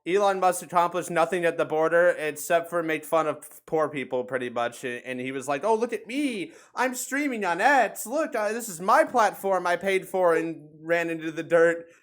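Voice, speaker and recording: Sarcastic male podcast host, slightly high voice. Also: normal speed, hardly any pauses. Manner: sarcastic, profane. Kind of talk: casual banter and commentary.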